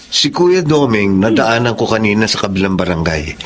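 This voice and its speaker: A man's voice: fairly low pitch, moderate pace, background noise. A man playing a sweet, playful, attentive husband in a Filipino radio drama, speaking affectionately. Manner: affectionate, flattering.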